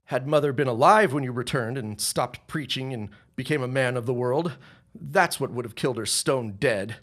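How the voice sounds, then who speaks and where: 男性が演じる反抗的で辛辣な息子. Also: male voice, mid-range voice, fairly bright tone, lightly acted. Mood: cold, sarcastic. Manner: formal, measured, cutting. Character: defiant, contemptuous grown son of a rich reverend. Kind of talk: period audio drama acting.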